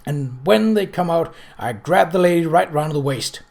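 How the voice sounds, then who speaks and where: A man's voice: fairly high pitch, lively intonation, gravelly, darkish tone. Male reader as a self-satisfied household servant in a storybook, savouring his own adventure tale. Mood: boastful. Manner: patient, drawn-out storytelling.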